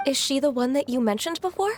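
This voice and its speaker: An actress playing a gentle, earnest and shy young secretary in a video game, polite and a little hesitant. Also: female voice, high voice, lively intonation, quick pace, slight background noise. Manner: polite, soft-mannered, hesitant. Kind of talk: English video game voice acting.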